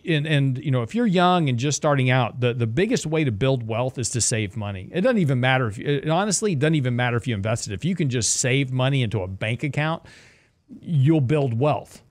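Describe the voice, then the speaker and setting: A man's voice: medium pitch, lively intonation, fairly quick, no echo. Chatty man in his sixties. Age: 60s. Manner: chatty, jokey, repeats himself. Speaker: show host, investment strategist. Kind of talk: casual financial advice talk.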